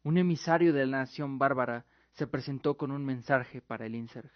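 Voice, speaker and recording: A man's voice: mid-range voice, moderate pace. A man narrating a scripted fantasy radio drama in a solemn storytelling manner. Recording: dull lo-fi audio with music behind. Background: music.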